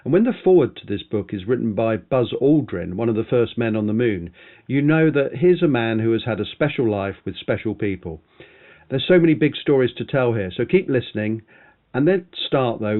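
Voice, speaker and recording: A man with a medium-pitched voice works as a podcast host. He speaks in the style of an interviewer, presenting and questioning a guest. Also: expressive, normal speed, narrow, tinny audio.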